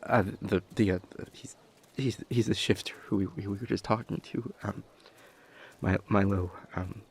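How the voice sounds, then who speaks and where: A man acting an anxious, kind young helper at a disaster in an audio roleplay, stammering heavily in a soft, hesitant voice. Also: on the low side, steady tone, moderate pace, slight background noise, natural voice. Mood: nervous, hesitant. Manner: heavy nervous stammer, hesitant.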